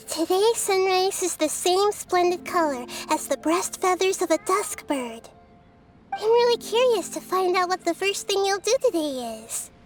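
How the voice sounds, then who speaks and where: Game casting: a tiny wise girl spirit, very high childlike voice, gentle, curious and measured, over background music. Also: full character voice. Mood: content, gentle. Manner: soft-spoken, measured, whimsical, gently teasing. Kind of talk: anime video game character voice lines.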